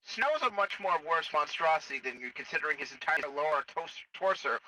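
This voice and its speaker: A man improvising in-character roleplay over game voice chat, matter-of-fact, with a halting delivery. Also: a little high, expressive, recorded from a distance, background noise. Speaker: roleplayer acting as a Star Wars officer.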